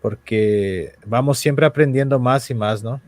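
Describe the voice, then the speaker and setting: A man from Paraguay leads a live language class over a video call. His pitch sits in the middle for a man. He talks in a friendly, meandering way, filling gaps with "eh" and "¿verdad?". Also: a little slow, a little mellow, no echo. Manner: switches between Spanish and Portuguese, friendly, many fillers. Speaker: Guarani language teacher hosting a live class.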